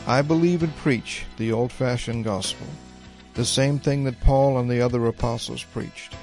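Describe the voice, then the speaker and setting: A man preaching a radio sermon, congested, reading scripture slowly and deliberately. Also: medium pitch, darkish tone, faint music behind.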